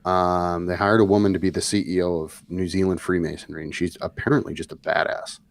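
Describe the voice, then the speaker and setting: A man co-hosting a casual discussion podcast, chiming in with quick agreement and wry jokes. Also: deep voice, little pitch movement, gravelly. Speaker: podcast co-host.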